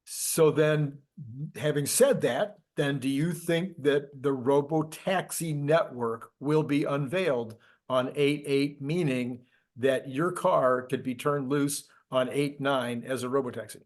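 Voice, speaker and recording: A man hosting a casual interview, posing questions and musing out loud about his own hunches. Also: mid-range voice, slow. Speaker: host interviewer. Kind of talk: casual chat.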